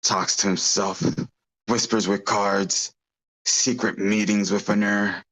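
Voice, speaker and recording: A man playing a bitter, self-pitying crime boss with a gruff, brooding manner, in a script table read. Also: on the low side, flat delivery, takes it slowly, a bit bright, exaggerated voice, poor audio.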